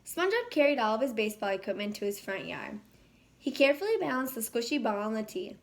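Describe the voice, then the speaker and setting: A woman reading a children's picture book aloud in an expressive, animated way. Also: a little high, fast, slight background noise. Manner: animated, acts out dialogue. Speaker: children's read-aloud storyteller.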